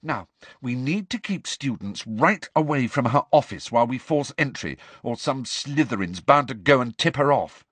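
A man's voice: mid-range voice, lively intonation, moderate pace, fairly bright tone, husky, no echo, voice pushed up. A man voicing an anxious, insistent, clever teenage girl in an audiobook, pleading and precise. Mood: anxious, brisk. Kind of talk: audiobook character voice.

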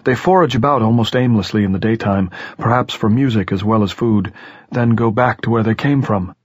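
A man voicing an elderly headmaster who is courtly, grave and resolute, with formal, pedantic, measured diction in an audiobook. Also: lowish voice, slightly rough, no room sound, muffled recording, voice pushed up. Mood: professorial, knowing.

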